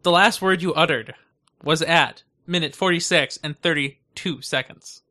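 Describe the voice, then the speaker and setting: A young man hosts a casual tech podcast. His voice is fairly high for a man and very bright and forward. He talks informally, as in a loose chat with a friend. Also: moderate pace. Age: young adult. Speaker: podcast host.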